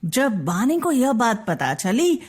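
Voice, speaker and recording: A woman's voice: a little high, sing-song, slow pace, a little mellow, husky. A woman reading a scripted children's bedtime story as narrator.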